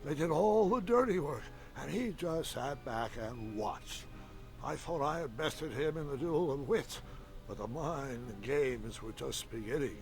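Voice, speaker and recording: A man's voice: mid-range voice, lively intonation, a little slow, a little mellow. A man voicing a wry old adventurer who recalls his past as a dramatic, knowing storyteller in a video game. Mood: wry, intrigued. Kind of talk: scripted adventure game narration.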